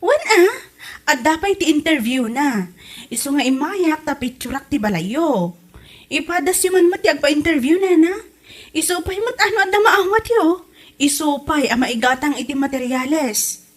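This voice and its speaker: Woman acting an eager high-voiced Ilocano neighbour. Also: lively intonation, moderate pace. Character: eager, reassuring village neighbour bearing news. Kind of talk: Ilocano comedy drama podcast acting.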